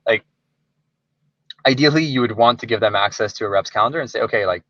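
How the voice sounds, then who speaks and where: A man's voice: on the low side, fast talker, pushed and bright, no room sound. A man explaining things in a webinar presentation, informal and hesitant, often leaning on filler words as he works through his points. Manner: informal, hesitant phrasing with frequent "like". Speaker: software company presenter. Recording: slightly dull lo-fi webinar audio.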